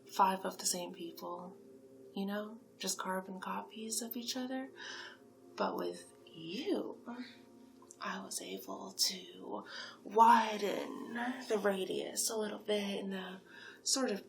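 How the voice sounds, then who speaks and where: A woman acts out a female sphinx who works as a monster matchmaker in an ASMR roleplay. She speaks softly and slowly, with a creaky edge that slips into whispering. Her manner is chatty, coaxing and full of flattery, over faint background noise. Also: medium pitch. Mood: flattering, welcoming. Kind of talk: soft-spoken ASMR roleplay.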